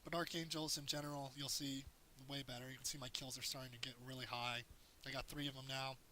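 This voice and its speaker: A man with a hoarse voice narrates his own strategy game match, walking through tips in a loose, rambling way. His tone is bright and forward, with a light hiss under the recording. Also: mid-range voice, flat delivery, fast, poor audio. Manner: explains as he plays, loose and rambling. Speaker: gameplay commentator. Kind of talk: casual game tutorial commentary.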